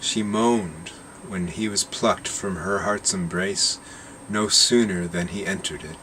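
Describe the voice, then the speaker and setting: Deep-voiced man slowly reading a story. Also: fairly even intonation, bright, forceful tone, a little hiss or hum, poor audio. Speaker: narrator reading his own short story. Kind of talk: short story read aloud.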